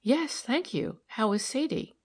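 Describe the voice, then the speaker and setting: A woman's voice: sits high, expressive, measured pace, voice pushed up. A woman voicing a shrewd, composed, friendly lady investigator in an audiobook, with a light, careless manner. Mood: warmly pleased.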